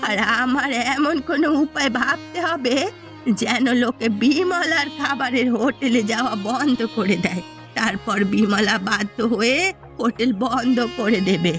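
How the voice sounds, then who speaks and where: A woman's voice: on the high side, moderate pace, a bit bright, faint music behind, natural voice. A woman voicing a scheming, vengeful elderly mother who runs a village eatery in a cartoon, speaking confidently and conspiratorially. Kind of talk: cartoon story voice acting.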